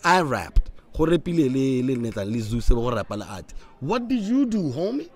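A young man, a podcast guest and former radio presenter, talking bluntly and heatedly, slipping into Setswana, recorded outdoors on a boom mic. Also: sing-song, moderate pace, darkish tone. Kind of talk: casual interview talk. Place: outdoor table on a campus courtyard. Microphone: studio mic on boom arm.

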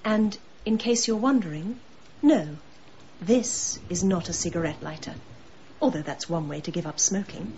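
Actress as a scornful villainess, slightly muffled. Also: female voice, fairly low pitch, normal speed, mellow, lightly acted. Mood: smug menace. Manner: mocking, scornful. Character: bitter, sardonic murderous wife holding people at gunpoint. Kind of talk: radio drama acting.

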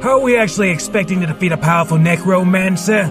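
A man's voice: high voice, fast, music in the background, natural voice. A man voicing a nitpicky, sceptical young adventurer in a cartoon fan dub.

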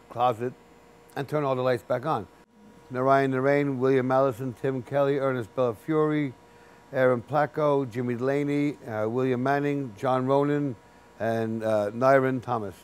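A middle-aged man, a chief engineer, speaks at an ordinary pitch in a plain, practical way, pausing and restarting as he explains. He is recorded seated in a basement boiler room, with a faint hum of equipment behind him. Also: darkish tone. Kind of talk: interview for a training video.

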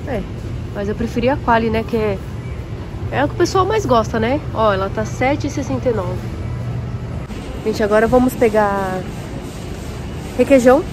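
A woman's voice: a little high, expressive, normal speed, pauses often, over music. A young woman vlogging while shopping in a large supermarket, chatting to viewers and comparing prices aloud, filmed on a phone at arm's length. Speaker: vlogger, café owner. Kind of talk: casual shopping vlog. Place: large warehouse supermarket, indoors. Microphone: phone held at arm's length. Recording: noisy store ambience.

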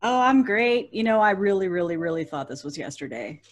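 A woman's voice: mid-range voice, sing-song, fairly quick. A woman with an American accent chatting casually as a guest on a live stream. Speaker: podcast guest. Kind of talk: casual chat. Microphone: video call.

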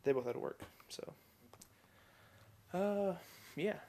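A tired young man rambling in a low-key way to a webcam from his bedroom, recording a casual video diary. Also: animated, slow, mellow. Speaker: vlogger. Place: bedroom at home.

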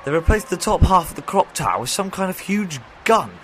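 A man playing an eccentric time-travelling doctor, brisk and exclamatory, in a fan audio drama. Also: high voice, big pitch swings, noisy background.

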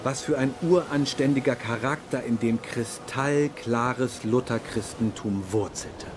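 A man's voice: medium pitch, a little slow, background noise, lightly acted. A man playing a reverent, devoted courtier in a radio play, giving lofty and effusive testimony. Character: reverent, devoted male admirer of the Kaiser.

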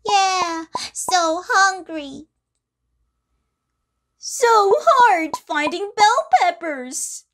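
A woman voicing a small, excitable child character in a children's puppet show, with a cutesy, drawn-out delivery. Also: extremely high pitch, exaggerated voice. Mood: hungry. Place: studio voice-over. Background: children's music.

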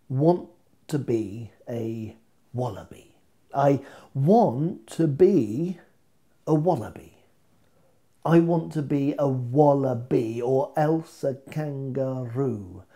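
Middle aged guy doing performance poetry to camera, soft mellow voice, kinda playful and theatrical. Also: medium pitch. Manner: playful, theatrical, rhythmic repetition. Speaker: poet. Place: plain indoor studio.

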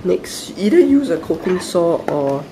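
A young man with a croaky, soft voice after a flu, hosting a casual hobby tutorial and explaining each step with small hesitations and restarts. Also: high voice, big pitch swings, unhurried, background noise. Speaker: aquarium hobby channel host. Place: indoors at a table.

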